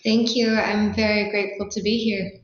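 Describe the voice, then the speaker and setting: A woman's voice: medium pitch, level tone, normal speed. A woman from the Netherlands giving articulate, explanatory answers as a startup founder in an interview. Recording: roomy, slightly dull sound.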